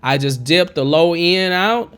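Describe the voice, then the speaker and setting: A man in his thirties with a high, twangy tenor, talking casually through a music production tutorial from a home studio on a condenser mic. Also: a little slow, a bit bright, clear, resonant tone. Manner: chatty, encouraging, digresses. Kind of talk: casual screen-recorded tutorial. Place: home studio with green screen. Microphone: studio condenser mic with pop filter, wearing headphones.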